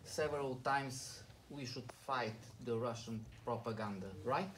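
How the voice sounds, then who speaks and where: A man's voice: medium pitch, measured pace, far from the mic, a little hiss or hum, poor audio. A man with a Bulgarian accent asks an insistent question from the audience, repeating his points. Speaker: audience member asking a question. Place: conference room. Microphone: handheld microphone, camera far off.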